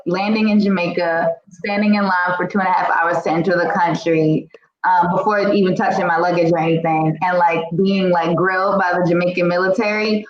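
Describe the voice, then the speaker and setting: A woman's voice: fairly low pitch, roomy sound, lo-fi sound. A woman guest in a casual group chat, talking chattily with lots of fillers and frequent laughs. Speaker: podcast guest.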